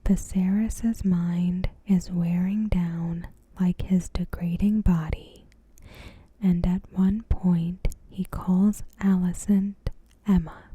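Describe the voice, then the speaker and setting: Woman, ASMR reading, hushed whisper, very mellow tone, breathy, lowish pitch, pauses mid-sentence. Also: fairly even intonation. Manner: soft-spoken, slow, measured, pausing mid-sentence. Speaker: ASMR video creator. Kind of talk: ASMR, reading a TV episode recap.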